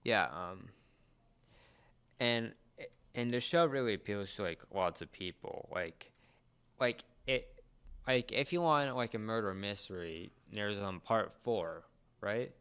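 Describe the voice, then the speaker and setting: A young man co-hosting a casual podcast chat, speaking in a halting, rambling way and often repeating words. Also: on the low side, lively intonation, a bit bright, clear, resonant tone. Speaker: podcast co-host.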